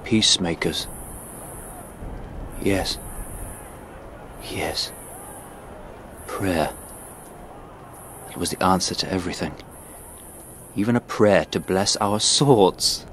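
An actor playing a thoughtful, compassionate young squire in a historical radio drama, earnest and measured. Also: male voice, deep voice, background noise. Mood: reflective. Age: young adult.

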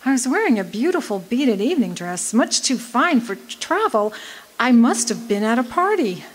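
An actress playing a bewildered woman stranded alone on a journey, telling her story in a measured radio drama monologue. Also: on the high side, big pitch swings, slightly rough, low-quality recording. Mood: bewildered, reflective.

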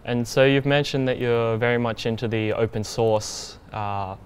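A young man hosting an interview at a conference booth, asking relaxed, thoughtful questions with small fillers. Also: medium pitch, normal speed, slight background noise. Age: young adult. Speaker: interview host.

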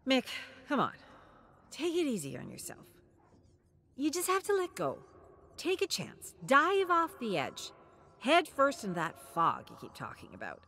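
A woman's voice: high voice, wildly swooping pitch, a little slow, sharp tone, a little hiss or hum, pitched above the performer's own voice, heavily acted. A woman voicing a brash, teasing young man who goads and coaxes his anxious partner in a dramatic story. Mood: coaxing. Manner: emphatic, goading, dismissive.

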